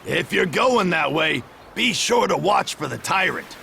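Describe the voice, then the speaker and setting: A man playing a gruff, seasoned seafarer in a video game, gravelly and folksy with clipped dialect phrasing. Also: high-pitched, lively intonation, measured pace, sharp tone, slight background noise, full character voice. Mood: cautioning. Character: gruff seasoned male Norman seafarer, plain-spoken elder.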